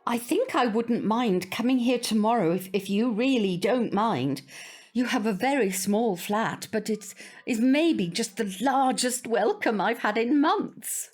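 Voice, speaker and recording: An older woman, a proper and politely flustered neighbour in an audio drama. Her voice sits a little high and moves up and down with great liveliness. She is hesitant, genteel and apologetic. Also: lively intonation, a little slow, faint music behind. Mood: hesitant, grateful.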